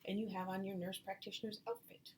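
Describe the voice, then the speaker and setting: Woman reading as a kindly, welcoming mother, medium pitch. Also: little pitch movement, fast talker, darkish tone, a little hiss or hum, lightly acted. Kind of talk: children's storybook read-aloud.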